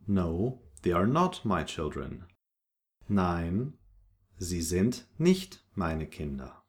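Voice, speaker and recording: A man's voice: sits low, sing-song, extremely slow. A man teaching a language lesson from a script, reading short example sentences and their translations in an instructional way.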